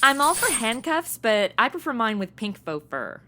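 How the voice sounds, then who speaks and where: An irreverent young woman in a comedy radio play. Her voice sits high and swings animatedly as she chats away. She is sassy and flippant, with casual modern phrasing. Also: very animated, moderate pace, sharp tone, a little hiss or hum. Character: flippant, chatty young woman posing as Robin. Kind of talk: comedy audio drama acting.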